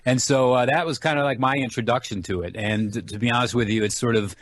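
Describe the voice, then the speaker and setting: A man's voice: medium pitch, steady tone, fast talker. A man speaking as an interview guest, telling stories casually with informal enthusiasm. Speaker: guest, film writer and enthusiast.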